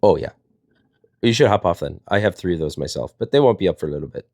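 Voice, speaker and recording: Low-voiced guy, fast-talking playful storyteller, very dry close sound. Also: animated, a little mellow, no room sound. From Wisconsin, United States. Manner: rambling, jokey, playful. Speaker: storyteller and live panel host. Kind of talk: casual chat and storytelling.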